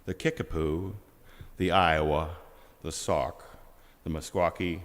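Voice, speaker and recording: A man serving as worship associate, leading a church service with a measured, solemn reading. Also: sits low, steady tone. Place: large church sanctuary. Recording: reverberant hall.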